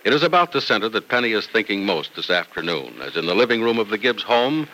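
A man's voice: on the low side, big pitch swings, fast talker, bright, forceful tone, slight background noise, rough recording. A man announcing in an emphatic, polished old-time radio sales and narration style. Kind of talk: 1940s-50s radio announcing, sales pitch.

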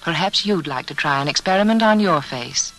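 A woman's voice: deep voice, expressive, fairly quick, fairly bright tone, soft background music, poor audio. An American woman reading a scripted beauty advertisement as a storyteller in 1940s radio style.

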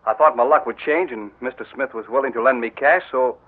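A man's voice: mid-range voice, a little fast, lightly acted. An actor playing a defensive young man, the boss's son, stammering at first in 1940s radio drama style. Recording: narrow old radio recording.

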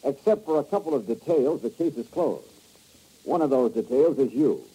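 A man's voice: mid-range voice, expressive, moderate pace, dark tone, slightly rough. An actor playing a hard-boiled male insurance investigator, clipped and sardonic, in an old radio drama. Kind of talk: 1950s radio drama acting. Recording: muffled old radio broadcast.

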